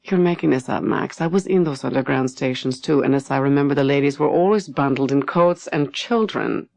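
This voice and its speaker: A woman's voice: very deep voice, a little fast, creaky, darkish tone. A woman narrator voicing a snappish, severely logical woman doctor in her late fifties in an audiobook story. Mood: skeptical. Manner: snappish, emphatic, cutting. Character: sharp, indignant woman doctor of fifty-eight, severely logical.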